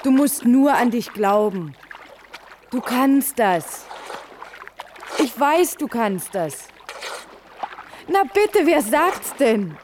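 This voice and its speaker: An actress playing a spirited young student lifeguard in a radio play, with a direct, encouraging manner. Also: female voice, fairly high pitch, sing-song, extremely slow, long pauses, exaggerated voice. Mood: patient, encouraging. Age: young adult. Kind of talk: German radio play acting. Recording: noisy outdoor sound scene with water.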